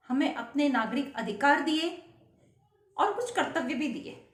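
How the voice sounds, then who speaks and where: Woman, vlogger, earnest, rhetorical questions, high voice, roomy echo. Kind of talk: patriotic speech to camera.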